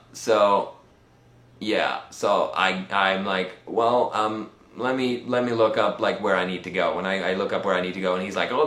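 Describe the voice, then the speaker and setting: A man with a Swedish accent telling a personal story in a casual, rambling vlog style, halting and pausing often as he goes. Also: sits low, sharp tone, echoey room. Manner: halting, conversational delivery with many pauses. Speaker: vlogger.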